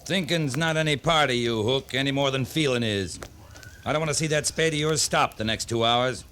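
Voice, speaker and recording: An actor playing a gruff, weary, commanding cavalry captain with clipped orders in a 1950s western radio drama. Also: male voice, mid-range voice, fairly quick, fairly bright tone, natural voice. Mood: weary, curt. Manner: terse, commanding. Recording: faintly noisy 1950s radio recording.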